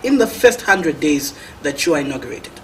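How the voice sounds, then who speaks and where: A man's voice: a little high, very animated, fairly quick, a bit bright, a little hiss or hum. A Zambian man hosting a formal television interview, introducing and questioning his guest.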